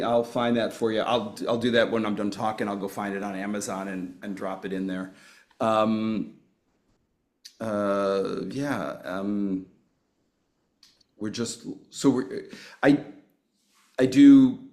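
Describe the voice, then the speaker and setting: A man's voice: fairly low pitch, steady tone, unhurried, long pauses, darkish tone. A man introducing himself on a video call as a full-time speaker and author, rambling with frequent restarts.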